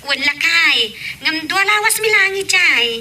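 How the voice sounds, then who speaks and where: A woman acts out a chatty, casual wife calling home in an amateur audio drama. Her voice is high and very sharp and bright. It is picked up from some distance. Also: lively intonation, unhurried, full character voice.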